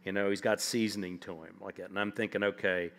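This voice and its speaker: A man in his fifties with a fairly low voice spins long, humorous anecdotes as a festival guest. He rambles easily, padding his stories with "like" and "you know", speaking from an indoor stage before a seated audience. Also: little pitch movement, a little fast, fairly bright tone. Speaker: author guest.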